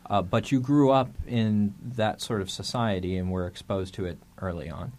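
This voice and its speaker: A man's voice: lowish voice, moderate pace, a little mellow. A man hosting a podcast, talking things through in a thoughtful, hesitant way and often repeating his words. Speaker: podcast host. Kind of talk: casual discussion.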